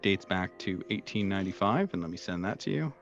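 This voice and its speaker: A man with a low voice runs a tabletop horror game as its game master. He narrates off the cuff, hesitating often as he feels his way through each sentence. The sound is a bit dull and lo-fi, with faint music underneath. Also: lively intonation. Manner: halting, hesitant delivery with frequent long hesitations. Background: background music.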